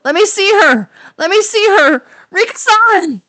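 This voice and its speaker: A woman's voice: extremely high pitch, lively intonation, measured pace, sharp tone, slight background noise, exaggerated voice. A woman voicing a grief-crazed teenage girl, shrieking and sobbing in repetitions. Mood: desperate grief.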